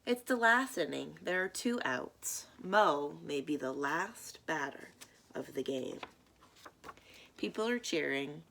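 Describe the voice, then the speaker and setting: A woman reads a children's story aloud in a fairly low voice. Her pace is very slow and deliberate, and her intonation moves expressively as a storytime reader's does. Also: expressive, vocal fry, fairly bright tone.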